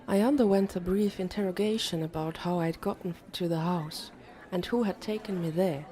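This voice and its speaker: A woman's voice: fairly low pitch, moderate pace, mellow. A woman reading a first-person novel as an audiobook narrator.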